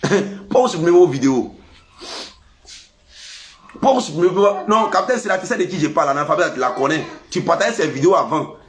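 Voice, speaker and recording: A man with an Ivorian French accent and a cold, talking casually to his followers in a lively, scolding way. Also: a little fast, long pauses. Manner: lively, scolding, animated pitch jumps. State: has a cold. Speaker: vlogger. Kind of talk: casual monologue to camera.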